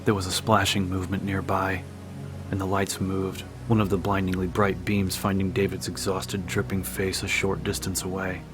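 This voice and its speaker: Audiobook narrator, male, very deep and flat in pitch, dramatic scripted prose over prominent music. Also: normal speed.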